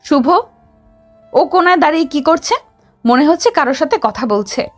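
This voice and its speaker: A woman's voice: sits high, expressive, normal speed, soft background music. A woman playing a curious, watchful young security team member in an audio story. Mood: suspicious, questioning.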